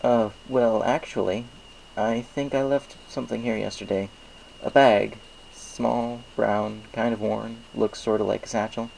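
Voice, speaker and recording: A man voicing a polite, hesitant young male winged pony with a halting, awkward manner in a fan story. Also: on the low side, fairly even intonation, vocal fry, darkish tone, a little hiss or hum, rough recording. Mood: nervous.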